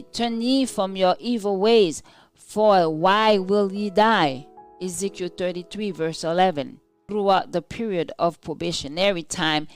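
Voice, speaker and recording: A woman reading a scripted devotional of scripture and commentary in a solemn, measured, deliberate manner. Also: lowish voice. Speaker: devotional reader. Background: soft music.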